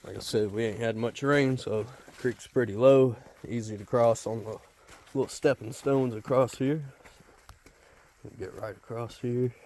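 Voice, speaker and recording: A man's voice: mid-range voice, a little slow. A man, congested from a sinus cold, casually narrating a hike in the woods. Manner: easygoing, relaxed. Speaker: hiking vlogger. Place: outdoors in a forest and in a car. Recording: noisy outdoor camera recording.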